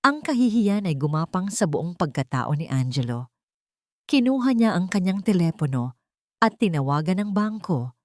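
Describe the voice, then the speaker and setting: A woman storyteller reading a scripted romantic drama with deliberate, dramatic phrasing. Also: lowish voice, sing-song, no echo, studio quality.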